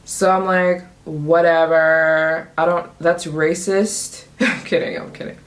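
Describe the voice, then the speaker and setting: A woman's voice: low voice, fairly even intonation, slow. A woman in her twenties vlogging casually to camera, bubbly and joking, laughing often and jumping between topics. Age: 20s. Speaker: travel vlogger.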